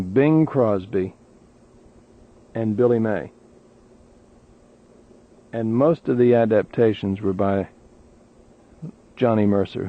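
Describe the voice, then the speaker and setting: A man with an American accent hosting an overnight radio show, chatting in a conversational way and reading news and weather. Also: fairly low pitch. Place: radio studio. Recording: tinny 1980s radio aircheck tape.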